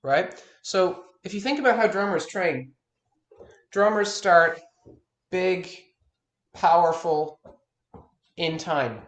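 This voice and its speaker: A man's voice: high voice, lively intonation, slow pace, long pauses, fairly bright tone, roomy sound. A man in his thirties, a guitar teacher, talking frankly in a one-on-one consultation and restarting his words as he stresses his points. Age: 30s.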